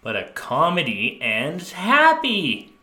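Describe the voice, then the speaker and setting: A man co-hosting a comedy podcast, joking around and doing deadpan bits. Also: on the high side, slow, echoey room, noisy background. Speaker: podcast co-host. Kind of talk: casual comedic podcast chat.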